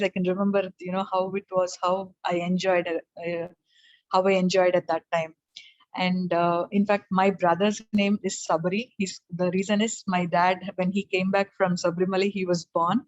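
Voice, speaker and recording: Middle-aged south indian woman, telugu first language, flat soft rambling talk, dry muffled video call sound. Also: medium pitch, no room sound. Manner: soft-spoken, rambling, with frequent fillers and small laughs. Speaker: guest presenter. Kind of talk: personal testimony on a video call. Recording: dull lo-fi call audio.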